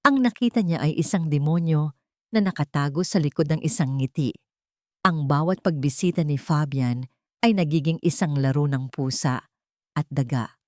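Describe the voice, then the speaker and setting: Woman storyteller, dramatic scripted read, a bit low pitched, dry close sound. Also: normal speed, no echo. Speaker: story narrator.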